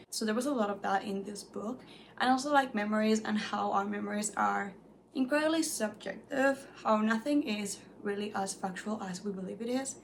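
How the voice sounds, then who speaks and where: A young woman whose first language is Spanish, chatting casually about books she has read, animated and full of "like"s. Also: medium pitch, a little hiss or hum. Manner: chatty and animated, many "like"s, light laughs. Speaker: book reviewer. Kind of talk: casual chat to camera.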